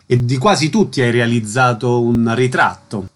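A man's voice: medium pitch, moderate pace. Man hosting a radio interview. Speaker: radio host.

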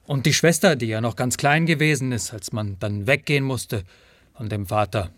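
An actor playing a rootless, humble and anxious peddler in a German radio play, with a halting, rambling way of talking. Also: male voice, medium pitch, a bit bright, no room sound, natural voice. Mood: plaintive, rambling. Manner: halting, rambling, says "one" instead of "I".

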